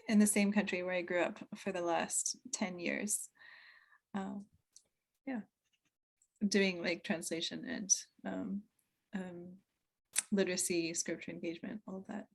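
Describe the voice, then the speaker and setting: A woman from West Asia introduces herself on an online panel over a video call. She talks slowly, her phrases trailing off between fillers. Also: mid-range voice, little pitch movement, gravelly.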